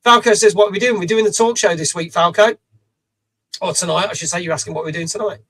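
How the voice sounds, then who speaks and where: A middle-aged man with a rough voice, hosting a live stream, chatty and reading out viewers' messages with casual swearing. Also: sits high, fast, fairly bright tone. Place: small home studio.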